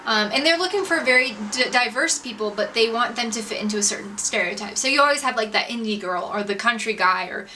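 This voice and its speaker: A young woman in her twenties with a bright, forward voice that sits fairly high. She talks like a casual vlogger recounting an experience, informal and self-deprecating with lots of slang. The mic sounds a little distant. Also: fast talker, few pauses. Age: 20s. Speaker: YouTube vlogger. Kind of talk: casual storytime chat to camera. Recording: distant mic with faint background noise.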